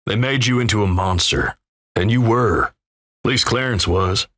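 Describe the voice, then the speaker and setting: A man playing a gruff, folksy, wry Old West narrator in a video game, with a gravelly American Western drawl and measured, ominous storytelling. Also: fairly low pitch, fairly bright tone, dry recording, clean studio sound. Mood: somber, judging. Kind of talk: dramatic second-person scripted game narration.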